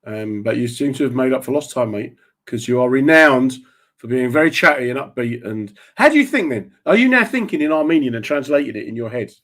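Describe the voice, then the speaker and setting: Teasing, lively-voiced male host. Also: medium pitch. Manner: teasing, jokey, quick asides. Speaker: radio show host. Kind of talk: joking casual chat.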